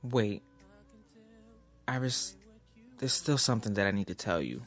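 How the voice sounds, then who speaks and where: A man's voice: on the low side, lively intonation, a little slow. A man acting a blunt, candid lover in a naturalistic audio drama about a troubled romance. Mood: hesitant, apologetic. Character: blunt, candid lover frustrated with married girlfriend. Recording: music behind the voice.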